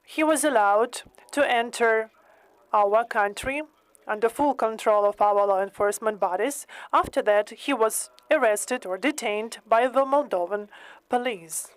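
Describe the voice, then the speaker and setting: Slow, careful woman interpreting. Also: on the high side, no room sound, poor audio. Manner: careful, hesitant, many pauses and "uh" fillers. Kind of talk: slow consecutive interpreting in English.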